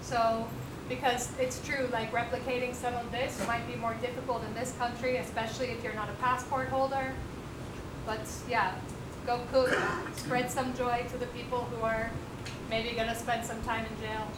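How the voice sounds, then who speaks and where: Loud, outspoken woman from the audience. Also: fairly high pitch, level tone, moderate pace. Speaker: audience member. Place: event venue. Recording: echoey hall with background noise.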